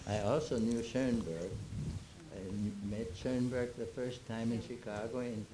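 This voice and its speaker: A man in his nineties, born in Austria with German as his first language, telling old stories in a halting, chuckling way with many restarts. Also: medium pitch, fairly even intonation, warm tone, slight background noise, poor audio. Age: 90s. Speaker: interviewee. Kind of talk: reminiscing Q&A.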